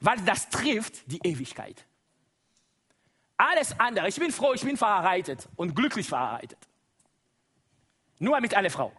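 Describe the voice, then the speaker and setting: Brief: Congolese-accented male preacher, middle-aged, high voice, very animated sermon delivery. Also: moderate pace, long pauses, slightly rough. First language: Lingala. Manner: lively, exclaims and laughs often, asks the congregation to repeat. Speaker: guest preacher.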